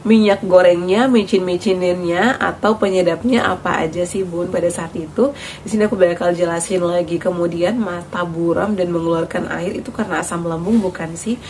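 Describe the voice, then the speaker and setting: A woman's voice: fairly low pitch, fairly even intonation, brisk, a little mellow, slight background noise. A woman talking casually to her viewers in a health vlog, chatty and friendly, with frequent fillers and restarts. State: recovering from cough and lost voice. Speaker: vlogger.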